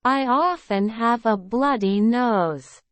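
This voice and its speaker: A woman reads English phrases slowly and evenly, each word placed with care. Her voice sits a little high. The sound is dry and close. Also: dry recording. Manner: slow, careful, evenly spaced. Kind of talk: slow repeated phrase drills.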